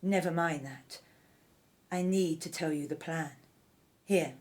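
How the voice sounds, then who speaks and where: A woman playing a ruthless, scheming royal wife of ancient Egypt in an audio drama, speaking in an imperious, deliberate, menacing way. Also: deep voice, a little mellow. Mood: scheming, commanding.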